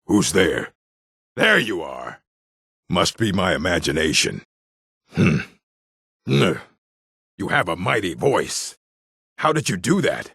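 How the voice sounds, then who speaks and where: An actor playing a gruff, aggressive orc warrior in a video game, with a rough, gravelly voice and a blunt, menacing manner. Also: male voice, low-pitched, sing-song, takes it slowly, pauses often, sharp tone, dry recording, studio quality, heavily acted. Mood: gruff, wary. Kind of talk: video game character barks.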